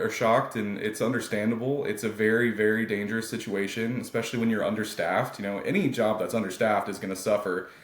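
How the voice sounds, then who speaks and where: American guy lawyer explaining stuff in an interview, kinda low voice, pretty echoey. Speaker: attorney, interview guest. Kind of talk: conversational interview answers. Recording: roomy, echoey sound.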